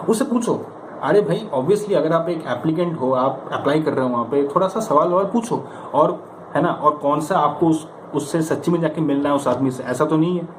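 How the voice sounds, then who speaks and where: A man's voice: fairly high pitch, moderate pace. An Indian man giving an informal motivational lesson as a coach, in a conversational, emphatic and coaxing manner. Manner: conversational, emphatic, coaxing, with rhetorical questions and acted-out examples. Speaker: stammering coach giving practice advice. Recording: echoey room with clear background noise.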